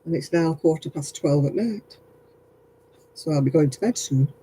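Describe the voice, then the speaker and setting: A man's voice: a little high, moderate pace, dark tone, husky, rough recording. A man chatting casually with viewers while crocheting on a livestream, self-mocking and laughing often. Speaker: craft livestream host.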